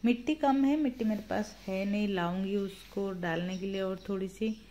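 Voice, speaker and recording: A woman's voice: mid-range voice, moderate pace, mellow, slight background noise. A woman giving a casual tour of her home garden, chatting unhurriedly while filming her plants on a phone outdoors. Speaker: gardening vlogger.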